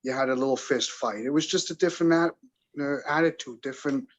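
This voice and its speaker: A man in his forties from England, answering interview questions in a measured, reflective way that halts now and then. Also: medium pitch, dull audio. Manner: measured, reflective, halting at times. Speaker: guest.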